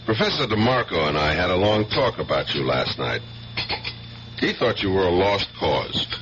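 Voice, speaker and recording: An actor playing a wry, probing police detective in a 1970s radio drama, conversational in manner. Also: male voice, sits low, big pitch swings, moderate pace, a bit bright, exaggerated voice. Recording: muffled 1970s radio broadcast, faint hum.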